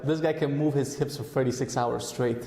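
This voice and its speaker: A man from Romania hosting a podcast, cracking quick jokes in a casual chat. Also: mid-range voice, fairly even intonation, gravelly, warm tone. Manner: quick, joking interjections. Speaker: podcast host. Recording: echoey room with long reverb.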